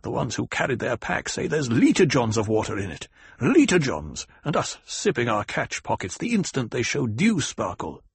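A man voicing a hot-tempered desert warrior who snaps and shouts in a raised voice. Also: medium pitch, wildly swooping pitch, normal speed, vocal fry, breathy edge, no echo, exaggerated voice. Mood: angry. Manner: loud, snapping and raised.

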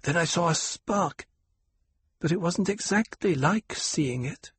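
A small, solemn girl with a soft half-lisp, talking slowly and earnestly in a raised, light voice, as a man reading the story puts her on. The tone is husky and breathy, and the storybook reading sounds close and dry. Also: fairly high pitch, lively intonation, dry recording, pitched above the performer's own voice, full character voice. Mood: hushed, wondering. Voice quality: half-lisping. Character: young girl with an old soul, solemn.

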